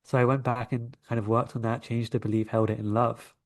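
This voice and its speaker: A man's voice: mid-range voice, little pitch movement, a little fast. A man from London with a London English accent, telling stories conversationally as an interview guest. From London, England. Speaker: interview guest, coach and energy healer.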